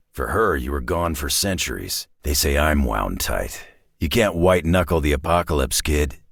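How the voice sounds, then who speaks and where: A man voicing a gruff, grizzled old mutant brawler in a video game, cynical and terse, with a growling rasp and a sardonic tough-guy way of talking. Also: rumbling low, no room sound, studio quality, heavily acted. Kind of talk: video game character voice lines.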